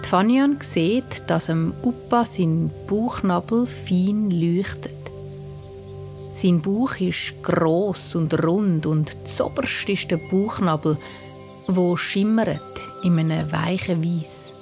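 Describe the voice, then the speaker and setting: A woman with a Swiss German accent narrating a scripted bedtime story for children in a gentle, soothing manner. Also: on the low side. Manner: slow, gentle, soothing delivery. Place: studio. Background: soft music and sound design.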